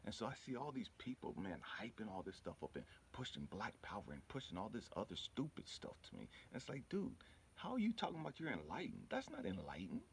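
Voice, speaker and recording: A man's voice: on the low side, wildly swooping pitch, fast, creaky, a little hiss or hum. A man giving an informal motivational spiritual talk in an emphatic, repetitive, colloquial way, often checking in with the listener. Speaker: spiritual healer and channel host.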